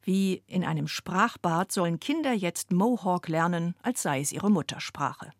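A woman narrates a radio report in a clear, even way. Her voice sits a little low for a woman. The recording is close and dry. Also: measured pace, no echo.

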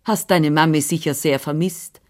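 A woman's voice: low voice, steady tone, moderate pace, darkish tone, natural voice. A woman reading the part of a stout older housekeeper who is firm, chatty and managing. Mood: brisk.

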